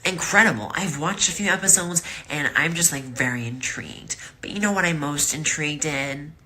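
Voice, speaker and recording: A woman recording a crude, flirty video shout-out to a show's host. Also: deep voice, moderate pace.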